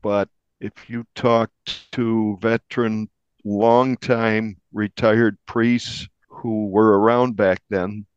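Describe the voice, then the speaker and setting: A man with an American accent, a reporter telling what sources told him in measured interview storytelling. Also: on the low side, little pitch movement, smooth. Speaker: newspaper reporter being interviewed. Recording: dull, dry lo-fi recording.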